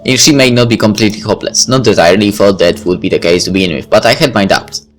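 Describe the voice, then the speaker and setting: A man's voice: lowish voice, fast, faint music behind. A man reading a visual novel aloud as a let's play narrator, with casual asides.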